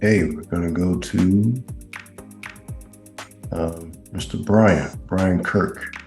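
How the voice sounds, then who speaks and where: A man's voice: sits low, steady tone, extremely slow, gravelly, a little mellow, echoey room, soft background music. A man hosting a casual group question-and-answer call. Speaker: host taking members' questions.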